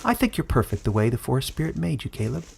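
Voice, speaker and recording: A man voicing a cheerful small furry male forest creature, gentle and wise, who chatters in a lively way. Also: medium pitch, fast talker, vocal fry, husky, heavily acted.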